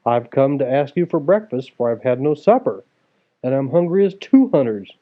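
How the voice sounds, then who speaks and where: A man's voice: mid-range voice, big pitch swings, normal speed, close to an everyday voice. Cheeky young storybook lad, performed by a man: bold, brash, hungry, on tinny narrow-band audio.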